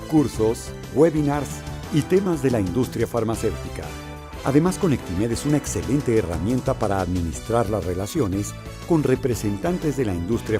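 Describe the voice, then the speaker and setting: A man reading a scripted promotional voice-over in a smooth, polished way. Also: mid-range voice. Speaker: promo narrator. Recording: voice-over over clear music.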